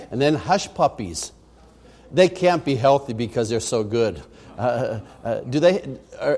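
A man's voice: lowish voice, a little mellow. A male missionary preacher with a mixed American accent. He tells homely stories in a chatty way, full of small fillers. He builds to emphatic preaching when he makes his point. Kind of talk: folksy sermon.

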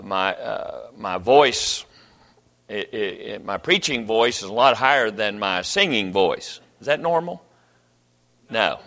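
A man's voice: medium pitch, a bit bright, big-room sound. An older man, a pastor telling stories from the pulpit in a folksy, rambling way with many restarts. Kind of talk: sermon. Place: church sanctuary.

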